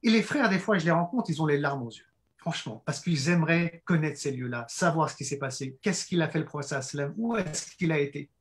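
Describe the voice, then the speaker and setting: A hoarse man giving an earnest fundraising presentation, halting with frequent fillers and restarts. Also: on the high side, lively intonation. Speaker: project presenter.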